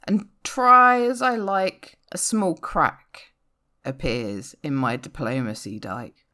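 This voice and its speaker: A woman reading a comic narrative poem aloud in a wry, theatrical, expressive way. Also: low-pitched, slow. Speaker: poetry reader. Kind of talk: dramatic spoken-word poem reading, rhyming storytelling.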